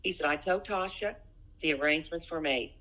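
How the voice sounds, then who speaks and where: A woman's voice: deep voice, a little fast, fairly bright tone, muffled recording. A middle-aged woman in a personal phone argument, speaking insistently and repeating her phrases. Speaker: private caller in a family dispute.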